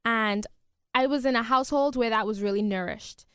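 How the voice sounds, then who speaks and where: Bubbly teen girl, smooth dry voice. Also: on the high side, normal speed, a bit bright, dry recording. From Bahamas. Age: teenager, 15. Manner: bubbly, giggly, chatty. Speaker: guest singer. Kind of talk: casual interview. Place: radio studio.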